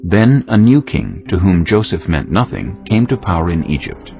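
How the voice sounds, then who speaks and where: Male narrator, very low voice, animated scripture reading, music underneath, slightly dull sound. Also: normal speed. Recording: muffled, clear music bed behind. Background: musical score.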